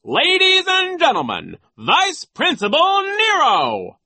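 Sneering school vice principal, high forceful voice, self-important, mocking, swooping pitch, storybook character. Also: male voice, wildly swooping pitch, takes it slowly, vocal fry, dry recording, exaggerated voice. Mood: grandly announcing. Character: pompous, nasty, vain male school vice principal.

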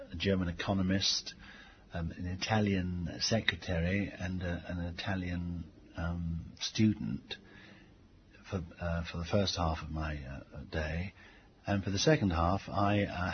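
A middle-aged man giving reflective interview answers as a teacher, speaking haltingly with frequent pauses. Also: low voice. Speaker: English language teacher being interviewed. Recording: dull lo-fi tape, faint background noise.

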